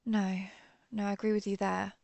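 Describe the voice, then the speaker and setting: A woman playing an earnest, conflicted vampire hunter who is herself a vampire, speaking formally with hesitant pauses in a dramatic audio roleplay. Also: mid-range voice, little pitch movement, creaky, darkish tone, no room sound, close to an everyday voice. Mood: grave, resolute. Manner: formal, old-fashioned wording, hesitant pauses. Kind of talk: dramatic audio roleplay, one-sided dialogue.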